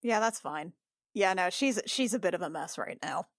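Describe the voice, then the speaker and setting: A woman's voice: fairly low pitch, animated, moderate pace, no room sound. A woman taking part in a tabletop game as a player, casually describing what her character does. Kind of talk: casual improvised role-play.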